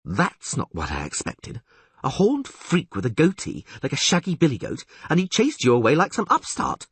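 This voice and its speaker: Audiobook role: flippant, vain young-sounding male bard acted by a man, lighter high voice, mocking, put-upon. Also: huge pitch swings, moderate pace, breathy edge, dry recording, exaggerated voice. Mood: aggrieved, whining. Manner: mocking, theatrical, aggrieved. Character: flippant, whining male poet, vain and complaining. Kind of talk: audiobook character acting.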